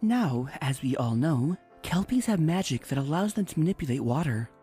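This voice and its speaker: A woman tells a storybook tale as narrator. Her delivery is lively and expressive, with music playing underneath. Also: low voice, normal speed, slightly rough. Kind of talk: scripted storybook narration in rhyme.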